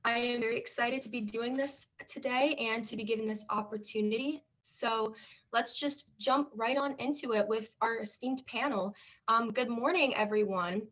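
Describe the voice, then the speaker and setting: A woman's voice: on the high side, normal speed, fairly bright tone. A woman moderating a panel discussion, asking questions in a friendly manner with some fillers.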